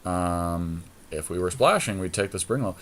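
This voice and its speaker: A man's voice: sits low, expressive, moderate pace, fairly bright tone. A man talking casually and thinking aloud as he commentates on a card game draft in colloquial speech. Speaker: podcast host, card game player.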